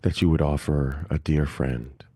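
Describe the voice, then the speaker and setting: A man with an extremely low, mellow voice guides a meditation. He speaks calmly and gently, leaving long pauses between phrases. The recording is dry and close. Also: breathy edge, no room sound. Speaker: meditation teacher.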